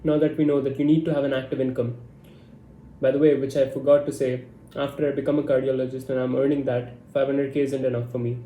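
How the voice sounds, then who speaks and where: A man's voice: mid-range voice, little pitch movement, quick pace, warm tone. A man in his twenties giving a blunt, confident monologue to camera. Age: 20s. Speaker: vlogger. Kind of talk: casual talking-head monologue. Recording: echoey room with faint hum.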